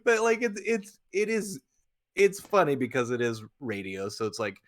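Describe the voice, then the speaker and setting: A playful man co-hosts a casual podcast chat in a medium-pitched voice. His intonation is lively and wide-ranging, and he readily tips into exaggerated comic delivery. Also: normal speed. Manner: animated, playful, breaks into exaggerated mock quotes. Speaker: podcast co-host.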